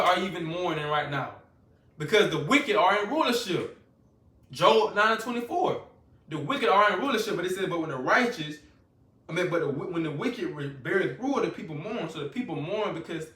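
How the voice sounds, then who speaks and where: High, bright-voiced fervent preacher man. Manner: fervent, repetitive, loose colloquial preaching. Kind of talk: scripture-reading sermon. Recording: echoey room, voice from a distance.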